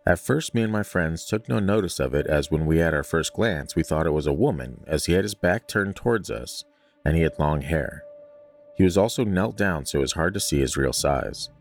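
A man's voice: low voice, animated, moderate pace, faint music behind. A man hosting a podcast, chatting casually and reading stories aloud in an informal way with joking asides. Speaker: podcast host.

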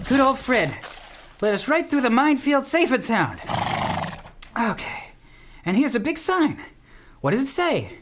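A man's voice: very high-pitched, a little hiss or hum. A man playing a rambling, wisecracking horseback traveler in a comic radio play. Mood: relieved, wry. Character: wisecracking, excuse-making male traveler on horseback.

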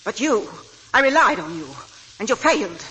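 A woman's voice: on the high side, very animated, normal speed, poor audio. An actress playing a fiercely devoted, accusing middle-aged mother in 1940s radio melodrama style. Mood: bitterly accusing. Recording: vintage radio broadcast, faint hiss.

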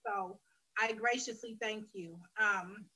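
A woman's voice: mid-range voice, steady tone, takes it slowly, bright, forceful tone, breathy edge, poor audio. A woman, a guest on a faith interview, telling her life story earnestly and candidly, often restarting her phrases. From Detroit, Michigan, USA. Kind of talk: personal testimony in a faith interview.

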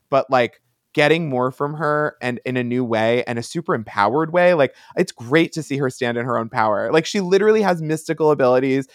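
A man's voice: mid-range voice, no echo. Enthusiastic man, close dry sound. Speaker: podcast co-host. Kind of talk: casual fan discussion.

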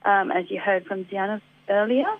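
Gruff-voiced Australian woman on phone. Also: medium pitch, normal speed, no echo. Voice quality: slightly gruff voice from a head cold. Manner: chatty, laughs easily, many ums. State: has a head cold. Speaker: environment centre guest on community radio. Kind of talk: phone-in radio chat about local events. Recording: dry tinny phone line.

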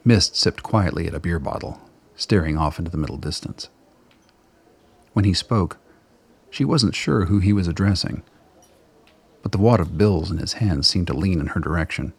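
Male storyteller for audio drama, deep and husky, scripted narration. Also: moderate pace, pauses often. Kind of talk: scripted radio play narration.

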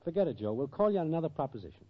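An actor playing a shifty, slangy small-time schemer of a boyfriend in a 1940s radio comedy, given to grand speeches. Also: male voice, a little high, very animated, rapid-fire. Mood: scheming. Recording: muffled, noisy 1940s radio broadcast.

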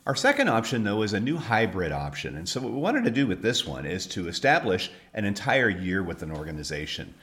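Male podcast host, folksy and conversational, lively pitch swings, echoey room. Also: a little fast, few pauses. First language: English. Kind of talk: conversational podcast introduction and interview. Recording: roomy echo with background noise.

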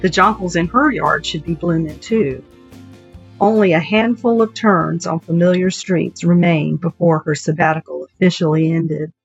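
A woman's voice: on the low side, moderate pace, breathy edge. A woman writer reading her own literary short story as a narrator. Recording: dull, dry recording with music bed.

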